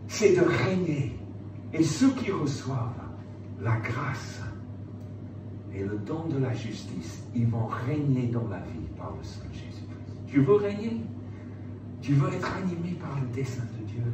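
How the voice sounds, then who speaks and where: A man's voice: mid-range voice, expressive, measured pace. A man preaching an evangelical sermon, emphatic, repeating phrases and putting rhetorical questions to his listeners. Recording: roomy, distant mic, loud background noise.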